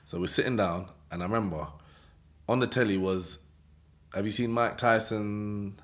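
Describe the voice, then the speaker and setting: London guy with a low voice, telling a story in street slang, laughs a lot and does everyone's voices. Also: moderate pace. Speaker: interview guest. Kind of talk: casual storytelling interview.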